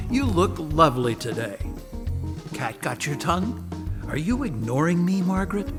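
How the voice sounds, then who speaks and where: A man's voice: fairly high pitch, sing-song, unhurried. A man playing a wisecracking ghost of a late husband in a radio drama, breezy and joking. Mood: teasing. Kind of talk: contemporary radio drama acting. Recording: long reverb, music behind.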